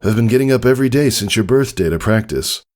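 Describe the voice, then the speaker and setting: A playful pegasus father, acted out by a man, raised a little above the reader's deep register. He coaxes with easy, nonchalant affection and mild impatience, as in a storybook. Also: expressive, quick pace, a little mellow, close to an everyday voice. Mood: upbeat, affectionate. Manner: nonchalant, coaxing. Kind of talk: acted fan fiction storybook reading.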